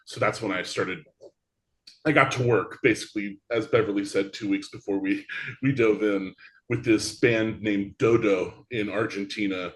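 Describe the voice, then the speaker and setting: A man chats as a guest about his theatre work, taking the long way around every answer. His voice sits fairly low. He often restarts his sentences before he gets going. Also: steady tone, normal speed. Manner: long-winded, rambling with restarts. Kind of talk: casual interview chat.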